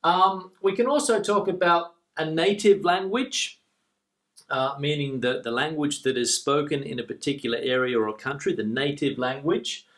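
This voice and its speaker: An Australian man gives an English lesson, explaining each word patiently and saying the key terms twice. His voice sits a little higher than most men's. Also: moderate pace, fairly bright tone. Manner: clear explanatory teaching, repeats key words. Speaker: English teacher.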